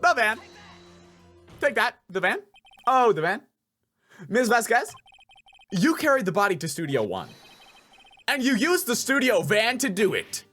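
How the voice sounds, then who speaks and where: Man voicing an earnest lawyer, swinging dramatically in pitch. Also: very animated, unhurried, long pauses, bright, forceful tone, faint music behind, exaggerated voice. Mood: accusing, emphatic. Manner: emphatic, declaratory. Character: earnest, insistent young male defense lawyer.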